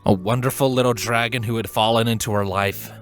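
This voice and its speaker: A man with a fairly low voice narrates a story earnestly and dramatically. He leaves deliberate pauses between phrases, and music plays underneath. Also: fairly even intonation. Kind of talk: expressive scripted fan fiction narration.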